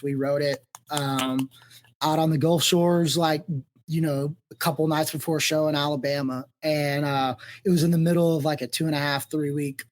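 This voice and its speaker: A man speaking as a touring band musician in a casual interview, explaining things in an earnest way. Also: fairly high pitch.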